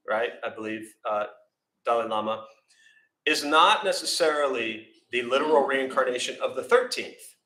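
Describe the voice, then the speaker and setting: A man's voice: medium pitch, sharp tone. An American man giving a casual meditation talk, self-deprecating and rambling with fillers. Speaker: meditation teacher. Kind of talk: informal dharma talk with questions. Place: temple meditation hall. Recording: echoey room.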